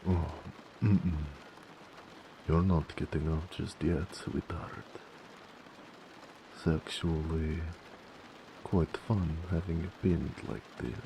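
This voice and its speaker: A man playing a devoted orc blacksmith in a romantic audio roleplay, deep and gravelly, tender yet possessive and stubborn, speaking slowly and earnestly. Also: fairly even intonation, background noise, exaggerated voice. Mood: warm, teasing.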